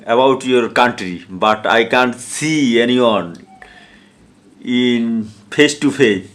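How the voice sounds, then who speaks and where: A man with a Bangladeshi accent chatting casually in halting, simple learner's English on an online video call. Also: mid-range voice, fairly bright tone. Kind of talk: casual English practice conversation. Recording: clear background noise, online call.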